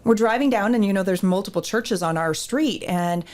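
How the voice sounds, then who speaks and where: Lively woman telling a story as a guest. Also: medium pitch, moderate pace. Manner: lively storyteller, acts out her own past remarks. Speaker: theater ministry director, guest. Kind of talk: casual interview storytelling.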